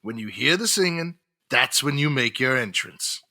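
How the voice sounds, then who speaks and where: A menacing escaped-convict gang boss, acted by a man in a comic audio drama. He schemes smugly in a mid-range voice with a hard, bright edge. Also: mid-range voice, lively intonation, normal speed. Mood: smug, scheming. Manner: plotting, smug.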